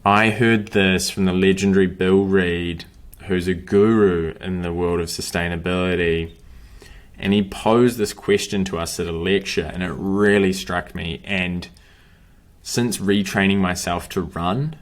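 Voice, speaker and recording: A man's voice: low voice, fairly even intonation, unhurried. A young man coaching runners, wrapping up a course in upbeat, motivational short phrases. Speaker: running and breathing coach. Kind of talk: course wrap-up talk to camera.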